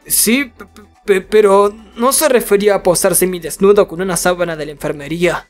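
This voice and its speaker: A man's voice: high-pitched, brisk, faint music behind, close to an everyday voice. A man playing a timid, embarrassed teenage boy in an anime fandub, hesitant and stammering, with a Latin American accent. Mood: nervous. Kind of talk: anime comic fandub acting.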